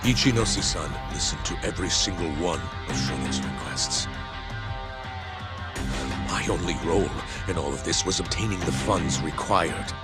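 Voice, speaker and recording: Man playing cornered, deep-voiced official. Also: normal speed, lightly acted. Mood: confessing, bitter. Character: cornered, guilt-ridden male official making a confession. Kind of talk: video game cutscene acting. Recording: loud dramatic music under dialogue. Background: loud music with guitar.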